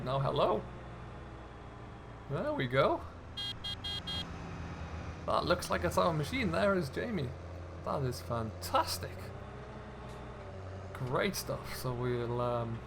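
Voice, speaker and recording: Easygoing man over engine noise. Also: on the high side. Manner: easygoing, chatty, thinking aloud. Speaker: gaming video host. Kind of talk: casual narrated game role-play commentary.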